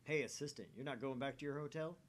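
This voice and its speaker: A man's voice: medium pitch, quick pace, a little hiss or hum, lightly acted. Man acting a pompous, mocking young scientist. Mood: mocking, grandiose. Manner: grandiose, mocking. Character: pompous, self-important young male mad-scientist type from visual novel.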